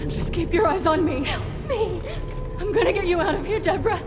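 Game cutscene: a high-voiced, desperate young female agent, over a loud score and crowd noise. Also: fairly quick. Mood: frantic. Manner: pleading, frantic, often crying out. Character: desperate young woman agent, guilt-ridden. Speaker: game character. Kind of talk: video game cutscene acting. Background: dramatic music.

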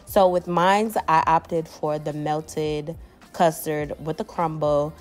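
A woman's voice: low voice, fairly even intonation, takes it slowly, roomy sound, soft background music. A woman vlogger with a stuffy nose, chatting casually to her viewers while out in a city. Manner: chatty, addresses viewers as y'all. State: congested. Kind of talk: casual vlog chat. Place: outdoors in a city.

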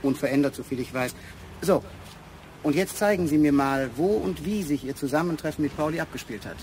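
An actor playing a polite, businesslike police inspector in a German radio crime drama. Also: male voice, a little high, a little fast, a little mellow, a little hiss or hum, natural voice. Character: brisk, courteous male police inspector.

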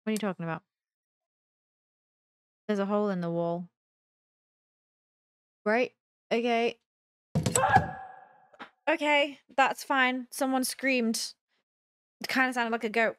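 Slow-paced young woman, playful gaming chatter. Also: fairly high pitch, a bit bright, clear, resonant tone, dry recording. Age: young adult. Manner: playful, self-deprecating, muttering to herself. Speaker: gaming video host. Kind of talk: casual let's-play commentary, thinking aloud.

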